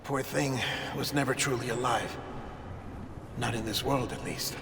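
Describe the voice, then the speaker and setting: A man playing a solemn, measured figure in a cinematic video game. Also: mid-range voice, steady tone, background noise, close to an everyday voice. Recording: long hall reverb, music underneath.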